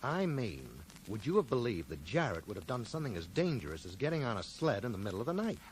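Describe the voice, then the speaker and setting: A man's voice: mid-range voice, sing-song, quick pace, lightly acted. An actor playing a thoughtful, persistent American man puzzling out a mystery in a radio drama, dry and questioning. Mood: earnest, questioning. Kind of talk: 1980s radio drama acting. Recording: noisy old radio broadcast recording.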